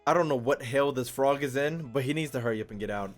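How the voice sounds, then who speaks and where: A man's voice: medium pitch, fast talker, faint music behind. A man giving casual, slangy, profane reaction commentary as a gaming video creator, in a joking manner.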